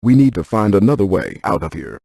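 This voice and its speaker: A man voicing a brainy, unflappable ghost-hunting scientist in a video game, with precise, clinical, deadpan delivery. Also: fairly low pitch, fairly quick, vocal fry, no echo, clean studio sound. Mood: urgent, focused. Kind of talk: scripted game voice lines.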